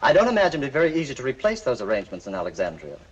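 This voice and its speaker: An actor playing a brisk, matter-of-fact talent manager who scolds fondly, in 1940s film style. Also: male voice, medium pitch, huge pitch swings, husky. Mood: chiding. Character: brisk, chiding but fond talent manager. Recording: dull 1940s film soundtrack.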